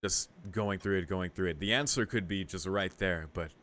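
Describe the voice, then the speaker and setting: A man with a fairly low voice reads visual novel text aloud for a let's play. He drifts between narration and casual, sweary asides, muttering his reactions as he goes. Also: moderate pace, a bit bright. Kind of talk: casual gaming commentary mixed with read-aloud narration.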